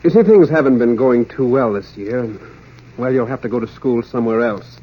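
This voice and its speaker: A man's voice: medium pitch, brisk, slight background noise, natural voice. An American actor playing an apologetic middle-aged father in a hesitant 1940s radio drama style. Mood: apologetic, awkward. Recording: muffled vintage radio recording.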